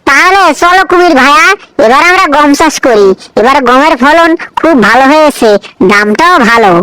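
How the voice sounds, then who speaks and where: Sly cartoon fox, super high voice, coaxing fake-friend talk for a kids folk tale. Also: animated, normal speed, bright, forceful tone, dry recording, poor audio. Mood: feigned hurt, urging. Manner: coaxing, wheedling, falsely friendly. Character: cunning smooth-talking fox who cheats his friend.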